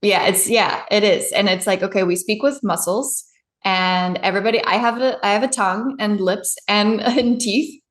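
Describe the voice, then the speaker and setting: A woman's voice: mid-range voice, steady tone, moderate pace. A woman pronunciation coach explaining her methods in a chatty, conversational way. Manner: chatty, explanatory, laughs easily. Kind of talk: conversational interview. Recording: roomy echo.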